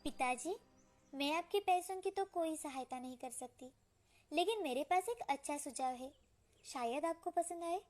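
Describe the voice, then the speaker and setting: A woman voicing an eager, helpful young village daughter in an earnest, coaxing way for an animated moral story. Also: sits high, moderate pace, soft background music. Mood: eager, hopeful.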